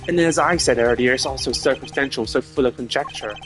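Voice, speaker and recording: A man's voice: medium pitch, a little fast, fairly bright tone, lightly acted. Man playing a smug, slippery prosecutor over game music. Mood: smug, glib. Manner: smug, taunting, glib. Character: smug, slippery male prosecutor murder suspect, mocking.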